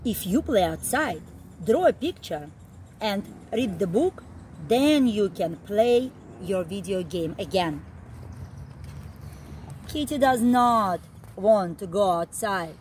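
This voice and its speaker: A middle-aged woman reads a story aloud for small children. Her pitch is medium and moves in lively swings, while her pace stays slow and deliberate with simple emphasized phrases. She is recorded outdoors, a short distance from the microphone. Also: slight background noise. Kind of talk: reading a picture book aloud to young children. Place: outdoor backyard, seated on a garden seat.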